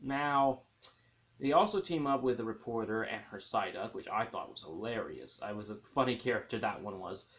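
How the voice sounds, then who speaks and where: A man's voice: on the low side, expressive, normal speed, fairly bright tone. A man hosting an enthusiastic movie review, leaning on key words with dramatic, emphatic stresses. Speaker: film reviewer and host. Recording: dull, lo-fi sound.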